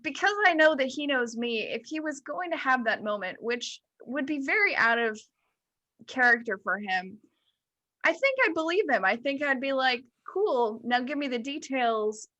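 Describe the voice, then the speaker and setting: A woman's voice: sits high, animated, moderate pace, bright, forceful tone, highs cut off. A woman guesting on a podcast, chatting casually in a playful, joking way. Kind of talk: casual group banter.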